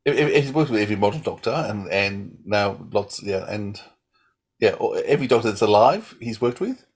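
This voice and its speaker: A man's voice: on the low side, expressive, moderate pace, husky. A man co-hosting a podcast, chatting casually in stop-start bursts with repeats. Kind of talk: casual chat.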